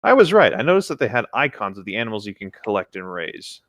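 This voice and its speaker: Slightly low-voiced man, close dry sound. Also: sing-song, fast talker, a bit bright, no echo. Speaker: co-host reading internet horror stories aloud. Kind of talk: casual story read-along with joking asides.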